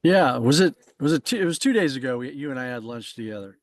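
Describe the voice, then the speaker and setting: A man's voice: mid-range voice, animated, dry recording. Co-host guy rambling, starts over a lot, mid voice, dry close sound. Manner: rambling, halting, repeats words as he restarts. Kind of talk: casual interview chat.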